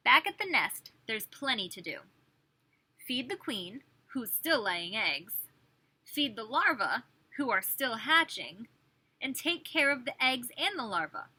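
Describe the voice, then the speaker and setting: Bright, high-voiced woman reading to young children. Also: slightly rough, rough recording. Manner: expressive, addressing small children, chatty asides. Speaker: preschool teacher reading aloud. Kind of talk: children's picture-book read-aloud with questions to young listeners.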